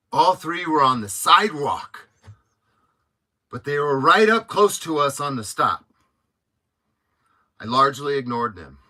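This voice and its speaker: A man's voice: medium pitch, pushed and bright. A man, around his thirties, giving sarcastic commentary as he reads a document aloud for a video. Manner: mocking, sarcastic. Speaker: video host.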